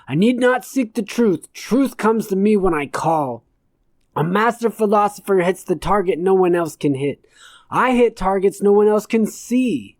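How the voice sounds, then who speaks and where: A woman's voice: mid-range voice, expressive, a little slow, a little mellow, no echo, natural voice. Storybook role: irate old philosopher, pompous and wordy, scornful of lesser minds, performed by a woman, very dry sound. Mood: grandly boastful.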